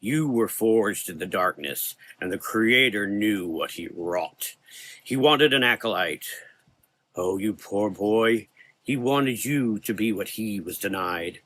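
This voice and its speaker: Casting: male actor as slow, low-pitched, solemn supernatural reaper for gothic horror audio, velvety and coaxing. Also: animated, a bit bright, heavily acted. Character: the dark man, grave velvety supernatural soul reaper.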